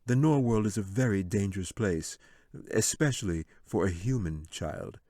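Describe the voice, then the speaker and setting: A sly fantasy wizard acted by a male narrator, speaking softly with false courtesy. He is condescending and menacing, lifting his tone as he toys with his listener. The recording is dry. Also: fairly low pitch, lively intonation, moderate pace, dry recording. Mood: mocking concern. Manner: soft-spoken, condescending, mock concern.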